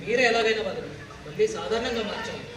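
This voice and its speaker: Man acting a high-voiced earnest younger brother, echoey. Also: expressive, very fast, a crowd in the background. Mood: earnest, pleading. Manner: earnest, pleading. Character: earnest bereaved younger brother pleading for help. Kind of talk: one-act stage play acting. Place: echoey hall, voice far from mic. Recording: very echoey hall, distant, audience murmur.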